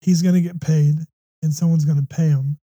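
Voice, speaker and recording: A hoarse, slightly congested man from the United States hosting a faith talk show, speaking earnestly with many ums and restarts. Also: a little high, steady tone, a little fast, dark tone. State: hoarse with a runny nose. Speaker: podcast host.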